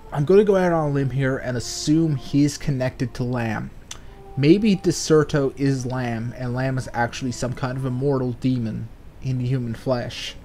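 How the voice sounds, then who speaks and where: Male gaming commentator, dark relaxed tone, medium pitch, loose and wordy, slight background noise. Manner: rambling, speculative, sweary. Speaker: let's play host. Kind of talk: casual game commentary, reading game text aloud.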